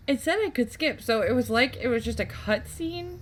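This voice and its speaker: A woman's voice: a little high, expressive, moderate pace, slight background noise. A woman giving casual let's-play commentary, thinking aloud and reacting as she plays. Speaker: gameplay host.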